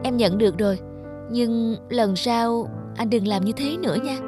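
A woman playing a polite, modest young office worker in an animated romantic drama, with a courteous manner. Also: on the high side, moderate pace, music in the background, lightly acted. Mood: polite, reserved.

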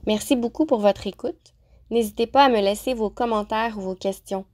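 A woman teacher narrating a lesson, pronouncing example phrases slowly and carefully. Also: fairly high pitch, darkish tone. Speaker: French-as-a-second-language teacher. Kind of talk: clear, deliberate vocabulary lesson narration.

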